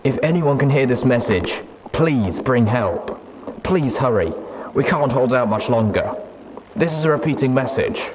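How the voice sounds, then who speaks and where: A man's voice: mid-range voice, animated, measured pace, noisy background, voice pushed up. A man voicing a young female griffin mercenary leader, pleading urgently in a military distress call from a story. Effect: looped radio message.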